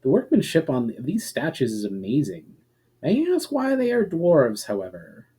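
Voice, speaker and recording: Brief: male narrator plays wary elf man, proud, insistent, always questioning, relaxed dark-toned voice, fantasy audiobook. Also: fairly high pitch, normal speed, husky, full character voice. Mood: puzzled.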